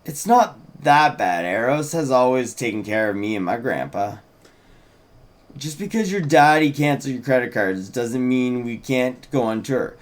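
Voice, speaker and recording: Man as teenage girl, hopeful, supportive, earnest, animated intonation, fantasy script. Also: medium pitch, normal speed, natural voice. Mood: reassuring. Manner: earnest, encouraging.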